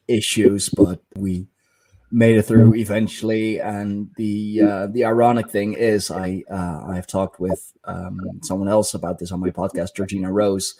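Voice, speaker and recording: Halting man hosting a podcast. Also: sits low, warm tone, poor audio. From Netherlands. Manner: halting, searching for words. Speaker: podcast host. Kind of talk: casual interview chat.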